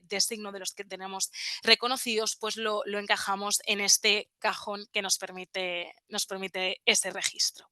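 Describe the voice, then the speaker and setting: A woman's voice: medium pitch, pushed and bright, no echo. A woman presenting in a webinar as a trademark office expert, explaining slides step by step with frequent fillers.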